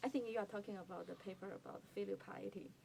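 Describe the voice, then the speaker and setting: A woman with a Chinese accent explaining research as a guest expert, careful and halting with frequent fillers. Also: medium pitch, steady tone, vocal fry, a crowd in the background, rough recording. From China. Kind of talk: academic explanation.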